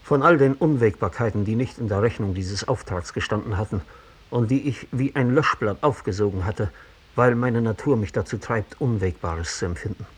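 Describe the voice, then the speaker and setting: An actor playing a sensitive, disgraced gentleman in a 1960s German radio play, confessing in measured, literary phrases with a husky voice. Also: fairly low pitch. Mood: haunted recollection.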